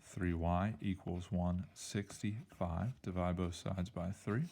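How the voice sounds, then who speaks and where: Man, math teacher, deep voice, mellow tone, slightly hoarse, playful and upbeat. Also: steady tone, slow. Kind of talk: recorded algebra lesson walkthrough.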